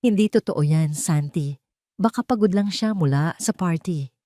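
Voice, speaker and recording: A woman voicing a kind, protective housemaid, gentle and soothing, in a storybook drama. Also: on the low side, moderate pace, no echo, clean studio sound, lightly acted.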